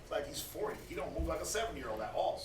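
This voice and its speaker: A man's voice: fairly high pitch, sing-song, rapid-fire. A man in his thirties teaching scripture in a casual, preachy way, often stammering and repeating words. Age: 30s. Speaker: Bible study speaker. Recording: echoey room, distant mic, faint noise.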